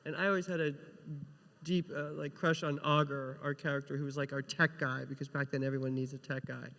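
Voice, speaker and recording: Higher-voiced man in a reverberant hall. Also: level tone, a little fast, creaky, a bit bright. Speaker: TV writer on a panel. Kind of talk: casual panel talk.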